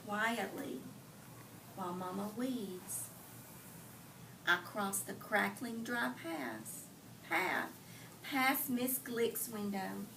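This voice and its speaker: A woman teacher doing an interactive read aloud for young children, telling the story expressively with deliberate phrasing and pausing to put questions to them. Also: mid-range voice, animated. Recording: distant mic, clearly noisy room.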